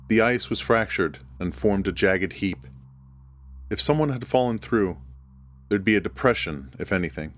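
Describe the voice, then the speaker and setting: A man reading a scripted first-person horror story as its narrator. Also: low voice. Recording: music bed under the voice. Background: background music.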